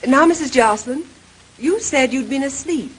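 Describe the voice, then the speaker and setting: An actress playing a capable, composed young woman detective in a 1930s-style radio mystery, questioning people crisply and politely. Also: high voice, normal speed, lightly acted. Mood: composed, inquisitive. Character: brisk, capable young female amateur detective, composed and inquisitive. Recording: noisy vintage radio transcription.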